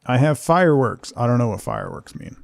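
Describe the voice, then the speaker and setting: A man's voice: mid-range voice, wildly swooping pitch, fairly quick, creaky, a little mellow, dry recording. A man giving casual live game commentary, playfully muttering asides and short exclamations as he chats to viewers. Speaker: game streamer.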